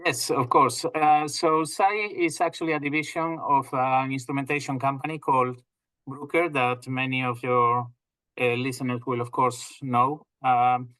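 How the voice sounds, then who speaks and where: A man from northwest Spain with a Spanish accent, a company president explaining his business in a measured way, with frequent fillers and restarts. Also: medium pitch, steady tone, fairly bright tone, no echo, rough recording. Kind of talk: business interview over video call. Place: home or office in England.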